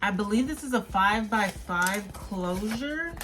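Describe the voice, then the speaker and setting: A woman's voice: mid-range voice, animated, unhurried, fairly bright tone. A woman hosting a beauty vlog, talking casually and directly to viewers in an informal, chatty review style. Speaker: beauty vlogger and host.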